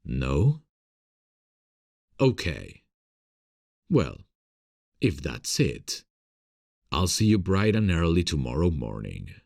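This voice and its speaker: Very deep, gravelly male theater director, acted by a man. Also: wildly swooping pitch, slow, long pauses, no room sound, studio quality, full character voice. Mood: proud, encouraging. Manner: gentle, encouraging, soft chuckles. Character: warm encouraging male theater director, secretly smitten with his actress. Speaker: voice actor. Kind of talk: scripted ASMR audio roleplay.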